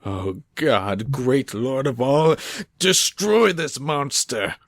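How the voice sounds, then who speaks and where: A man's voice: medium pitch, expressive, takes it slowly, dry recording, studio quality. A man playing a haunted, defiant scientist in a horror radio play, with an earnest, theatrical delivery. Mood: anguished, vengeful. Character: anguished, haunted scientist and new husband, defiant. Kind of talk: amateur radio-play style horror acting.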